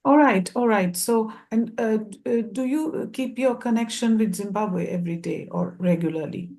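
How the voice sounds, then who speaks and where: A woman's voice: mid-range voice, normal speed, a little mellow. A woman moderating a panel interview, asking long rambling questions with repeated words.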